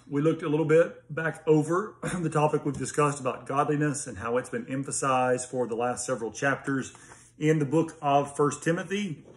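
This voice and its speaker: A man in his thirties with an American accent, a pastor giving an informal, earnest sermon recap to camera with hesitant pauses. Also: medium pitch. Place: small home study with bookshelves, indoors. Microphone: phone or laptop at arm's length.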